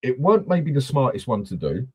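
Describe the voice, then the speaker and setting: A man's voice: mid-range voice, sing-song, brisk, a little mellow, breathy edge. A man hosting a casual football fan chat, blunt and swearing freely. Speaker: host of a football fan channel.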